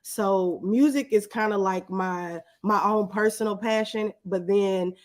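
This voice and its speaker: A woman's voice: mid-range voice, measured pace. A woman giving casual, plain-spoken, conversational answers as a guest in an interview.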